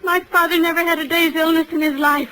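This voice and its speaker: An actress playing a frightened, melodramatic young runaway stepdaughter in 1940s radio style. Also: female voice, very high-pitched, little pitch movement, fast talker, bright, forceful tone. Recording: noisy old radio recording.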